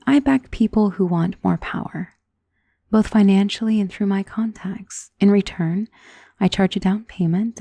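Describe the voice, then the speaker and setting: A woman playing a centuries-old, wealthy vampire in an ASMR roleplay, doting and possessive, speaking in a soft, intimate, genteel and commanding manner. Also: mid-range voice, creaky, no room sound. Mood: composed, explaining. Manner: soft, intimate, genteel, unhurried endearments, often dropping into whisper. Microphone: close microphone in a dry space.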